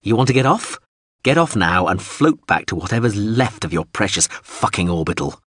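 A man's voice: lowish voice, lively intonation, normal speed, a bit bright, slightly rough, no echo, clean studio sound. A man voicing a blunt, profane mercenary commander. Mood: threatening. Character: hard-bitten, blunt male mercenary commander. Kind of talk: audiobook character voice.

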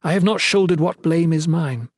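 Man voicing a desperate young queen, pitched high. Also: normal speed, no room sound, close to an everyday voice. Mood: urgent, confessing.

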